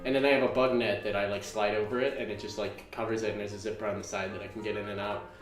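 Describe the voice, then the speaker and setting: A man's voice: fairly low pitch, steady tone, racing, roomy sound, over music. A man of twenty chatting casually as a podcast co-host, rambling through a story with lots of fillers. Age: 20s. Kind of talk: casual chat.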